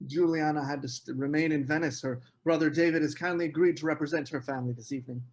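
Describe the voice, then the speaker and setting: A man reading a charming, evasive young author at a table read, courteous and smooth. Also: fairly high pitch, steady tone, fast, natural voice.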